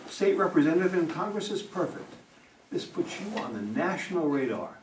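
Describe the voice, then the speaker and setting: A man's voice: fairly high pitch, sing-song, normal speed. A man playing a brash, cynical, pushy campaign manager in an amateur play reading, blunt and wisecracking. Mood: persuasive. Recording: distant, echoey room, faint noise.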